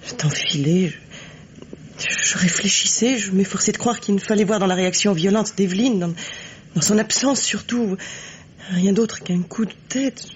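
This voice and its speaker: An actress playing a wounded, resigned lover with a hesitant, tender, breathy delivery, in the theatrical style of a 1950s radio mystery. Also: female voice, mid-range voice, moderate pace, close to an everyday voice. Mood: anxious, hesitant. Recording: dull, noisy old radio recording.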